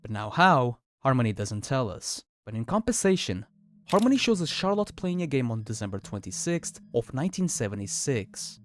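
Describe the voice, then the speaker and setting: A man's voice: medium pitch, big pitch swings, moderate pace. A man giving scripted, explanatory narration in the style of a video essay.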